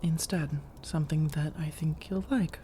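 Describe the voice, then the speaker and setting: A woman playing a seductive, teasing vampire roommate in soft-spoken ASMR roleplay, coaxing and reassuring, often drifting into whispers. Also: low-pitched, steady tone, normal speed, a little hiss or hum, natural voice. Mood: teasing, secretive.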